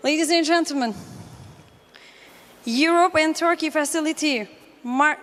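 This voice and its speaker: A woman's voice: sits high, unhurried, fairly bright tone. A woman moderating a conference, formally introducing the next speaker in two languages. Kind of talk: formal event announcing. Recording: reverberant hall.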